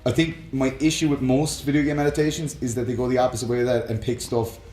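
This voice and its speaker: A man's voice: mid-range voice, flat delivery, fast talker, darkish tone. Man chatting casually in an echoey room. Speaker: podcast co-host. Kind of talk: casual chat about video games and films. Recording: roomy echo, music underneath.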